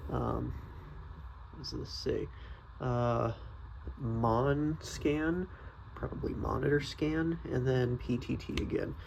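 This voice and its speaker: Hesitant man with a creaky voice, slow-paced. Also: medium pitch, darkish tone, slight background noise, poor audio. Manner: hesitant, thinking aloud, tentative. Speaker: product reviewer. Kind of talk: casual unscripted product review.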